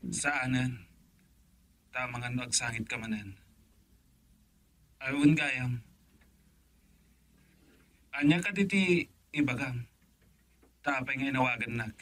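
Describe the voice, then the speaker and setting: A man's voice: medium pitch, slow pace, pauses often, a bit bright. An actor playing an eager, teasing man in radio drama dialogue.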